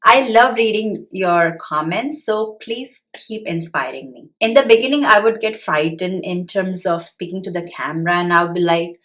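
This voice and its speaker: A woman's voice: lowish voice, fairly bright tone. A middle-aged woman from India with an Indian accent, explaining personal finance straight to camera in an earnest, patient way. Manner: earnest, explanatory, with occasional pauses and fillers. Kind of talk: direct-to-camera talk.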